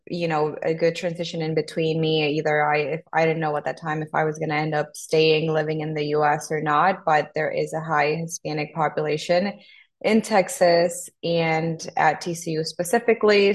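Low, even-toned Honduran woman. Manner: earnest, steady, some fillers. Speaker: podcast guest. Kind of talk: conversational interview storytelling.

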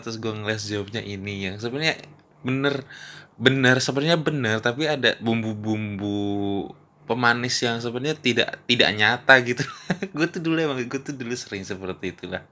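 A man's voice: medium pitch, bright, forceful tone. Slangy young man podcasting. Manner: slangy, rambling, laughs often. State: has a mouth ulcer. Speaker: podcast host. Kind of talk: casual solo podcast chat. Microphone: phone.

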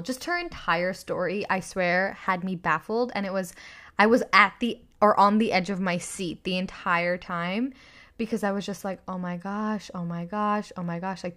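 A young woman hosting her own podcast, chatting enthusiastically about a book with a slightly raspy voice from screaming. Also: medium pitch, fairly bright tone. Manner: enthusiastic, chatty, lots of fillers. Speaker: podcast host. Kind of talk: casual solo podcast book review.

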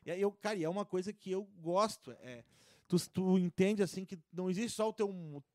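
Podcast guest, man, rambling anecdotes, slightly high voice, dry sound. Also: brisk, darkish tone, no echo. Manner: rambling storyteller with many restarts and fillers. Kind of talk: casual chat.

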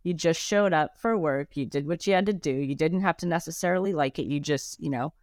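A woman's voice: low-pitched, fairly quick, a little mellow, dry recording, studio quality. Affirming female podcast host. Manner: affirming, frequent agreeing backchannels. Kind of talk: interview conversation.

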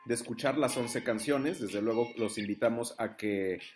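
A man's voice: mid-range voice, moderate pace, a little mellow, soft background music. A man interviewing an author, asking long, formal questions with "eh" and "mm" fillers. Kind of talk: radio interview.

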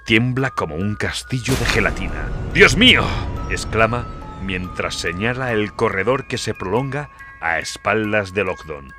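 A man's voice: fairly low pitch, animated, moderate pace. A man narrating a horror audiobook in a dramatic, scripted storytelling style. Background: music.

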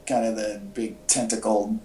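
A man's voice: lowish voice, level tone, normal speed, warm tone. A man co-hosting a podcast in casual chat, talking informally like an enthusiastic fan. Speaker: podcast co-host. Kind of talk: casual pop-culture chat. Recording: echoey room podcast audio.

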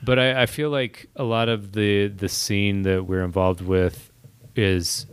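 A man's voice: lowish voice, fairly even intonation, a little slow, smooth, dry recording. A man in his thirties chatting casually as host, rambling and correcting himself as he goes. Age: 30s. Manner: rambling, hedging, self-correcting. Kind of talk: casual chat.

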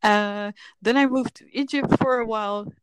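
A woman's voice: on the high side, fairly even intonation, normal speed, smooth, no echo, rough recording. A woman in her thirties from the Netherlands, a filmmaker guest telling her story candidly and reflectively in a casual podcast chat.

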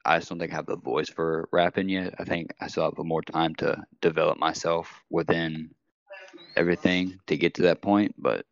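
A young man talking in a hesitant, halting way as a guest in a casual chat. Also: low-pitched, little pitch movement, gravelly, dry recording, lo-fi sound. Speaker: guest rapper.

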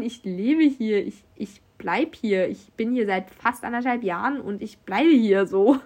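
A young woman from Germany, a university student abroad, casually telling anecdotes in a chatty way and acting out what other people said. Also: a little high. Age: young adult. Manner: chatty, animated quoting of others, frequent fillers. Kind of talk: casual storytelling.

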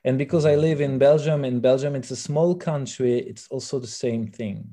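A relaxed, mellow-voiced man with a French accent speaks as an interviewed musician. He picks his way through English haltingly, often repeating words as he searches for the next one. His pitch sits in an ordinary male range. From France. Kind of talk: conversational interview answers.

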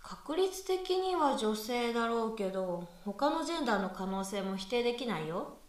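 A woman's voice: a little high, big pitch swings, normal speed. A woman playing a skeptical, exacting young female university student with dry retorts in a conversational radio drama. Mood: skeptical, exasperated. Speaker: voice actress in amateur radio drama. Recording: echoey room, far from mic.

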